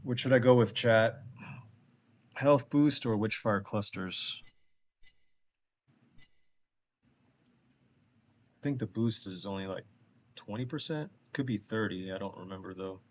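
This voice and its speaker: A man's voice: on the low side, measured pace, pauses often, lo-fi sound. A man with a stuffed-up nose from allergies, casually chatting with his viewers while playing a game and thinking his choices through aloud. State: congested from allergies. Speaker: streamer. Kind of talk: casual game streaming chat.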